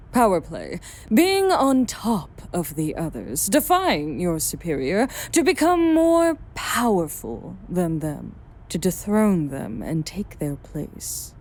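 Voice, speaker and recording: A brooding vampire woman acted by a woman from an audio drama, worldly and guarded. Her voice is mellow and on the low side, and it swings widely in pitch as she lays things out. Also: exaggerated voice. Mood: bitter, brooding. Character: self-exiled female vampire sire, worldly, guarded, brooding.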